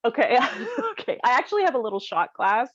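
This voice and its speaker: A woman's voice: fairly high pitch, sing-song, fast talker, no echo, muffled recording. A woman co-hosting a podcast in casual comedic chat, with a giggly, self-deprecating manner. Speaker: podcast co-host.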